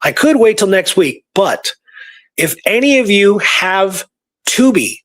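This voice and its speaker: A man's voice: high-pitched, expressive, unhurried, fairly bright tone. A man co-hosting a casual podcast, talking in an enthusiastic, emphatic storytelling manner. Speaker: podcast co-host.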